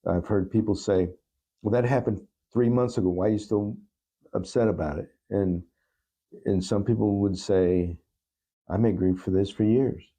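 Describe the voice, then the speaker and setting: A man speaking as a podcast guest in a reflective interview, gentle, reassuring and deliberate. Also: lowish voice, fairly even intonation.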